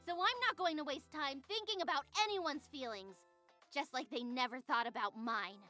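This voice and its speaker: High, intense magical schoolgirl. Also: normal speed, pushed and bright, soft background music. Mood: vengeful, resolute. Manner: intense, self-righteous. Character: defiant, vengeful middle-school magical girl. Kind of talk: voiced visual novel acting.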